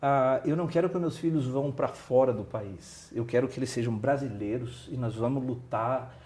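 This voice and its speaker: Male interview host from southern Brazil, earnest and rallying, with a mellow, darker tone. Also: medium pitch, moderate pace. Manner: earnest, rallying listeners to support culture. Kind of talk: conversational interview.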